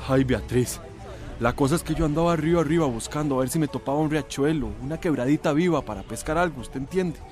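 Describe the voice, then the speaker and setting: An actor playing a frightened rural villager in a radio drama, urgent and colloquial. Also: male voice, a little high, quick pace, darkish tone, faint music behind, natural voice. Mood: shaken, alarmed. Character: frightened young rural villager bringing alarming news.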